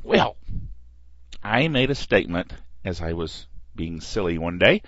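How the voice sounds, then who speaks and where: A man's voice: medium pitch, dry recording. A man hosting a podcast, chatting wryly and reading listener mail aloud. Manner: lively, wry, chatty, reads listener email aloud. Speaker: podcast host. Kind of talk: casual podcast monologue. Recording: dull lo-fi podcast audio.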